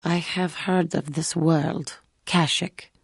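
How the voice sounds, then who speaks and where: A proud alien warrior woman from a video game. The voice is low and husky, recorded close and dry. She speaks in formal, measured phrases with a wounded, solemn edge. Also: steady tone, no echo, full character voice. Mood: solemn, wary. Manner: formal, measured, stilted earnest diction. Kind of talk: scripted game character dialogue.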